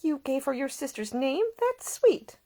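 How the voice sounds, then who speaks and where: A woman's voice: high-pitched, very animated, unhurried, dark tone, voice pushed up, exaggerated voice. A woman voicing a caring, earnest and proper young woman in a storybook, solicitous and polite. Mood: warm, reassuring.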